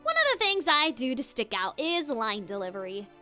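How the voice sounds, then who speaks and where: A woman's voice: a little high, sing-song, normal speed, over music. A woman hosting a scripted, playful tutorial as a voice actor, with theatrical emphasis. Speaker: voice actor and video host.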